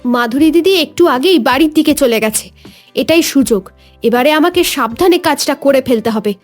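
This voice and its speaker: A woman playing a jealous, scheming village wife plotting against her rival in a cartoon dub. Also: high-pitched. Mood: sneaky. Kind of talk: Bengali cartoon dubbing. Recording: music behind the voice.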